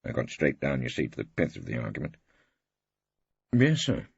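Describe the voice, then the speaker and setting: A man's voice: a bass voice, fast talker, creaky, slightly rough, no room sound, muffled recording. A man narrating a scripted first-person story as a barrister, in a wry, theatrical manner. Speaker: audiobook narrator.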